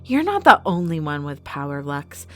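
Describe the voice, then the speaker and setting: A woman's voice: low-pitched, very animated, moderate pace, fairly bright tone. A woman playing a proud, defiant boss of an underground fighting arena in an audio drama, firm and challenging. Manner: firm, challenging, self-assured. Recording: music under the voice.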